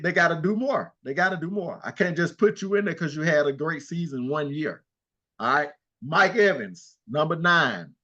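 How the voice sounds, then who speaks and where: An older man co-hosting a casual sports talk show, animated and trash-talking as he rattles off stats. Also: on the high side, dull audio. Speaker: co-host.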